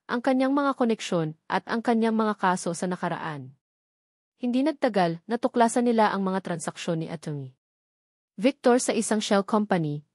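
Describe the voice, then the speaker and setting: Babaeng tagapagsalaysay ng madramang kuwento. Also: female voice, mid-range voice, a little mellow, no echo, clean studio sound. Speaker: story narrator. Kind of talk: scripted dramatic story narration.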